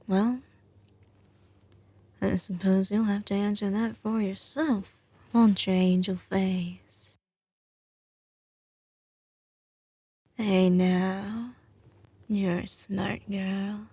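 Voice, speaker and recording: A woman plays a young Southern mafia heiress in an intimate ASMR roleplay, tough yet doting on her lover. Her drawl is slow and lower-pitched fry creeps into it often. The sound is close and dry, and she leans on teasing pet names with assured ease. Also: level tone, dark tone, dry recording. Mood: tender, teasing. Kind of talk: ASMR roleplay, intimate scripted monologue to the listener.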